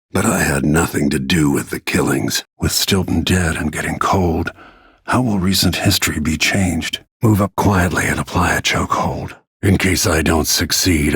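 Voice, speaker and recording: An actor playing a grim, weary middle-aged bodyguard turned assassin in a video game, speaking tersely with a gravelly, husky voice. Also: male voice, a bass voice, a bit bright, no echo, clean studio sound, full character voice. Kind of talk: scripted game voice lines, short dramatic remarks.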